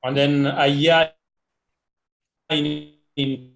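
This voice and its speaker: Man, neurosurgery fellow, slightly high voice, friendly, direct. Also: flat delivery, extremely slow, vocal fry, a bit bright. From Nairobi, Kenya. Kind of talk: casual video call chat.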